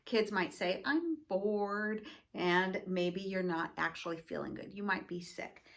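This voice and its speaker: A woman's voice: lowish voice, steady tone, normal speed, gravelly, a bit bright. A woman educator explaining things to families and children in simple, encouraging, teacherly phrases. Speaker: school educator.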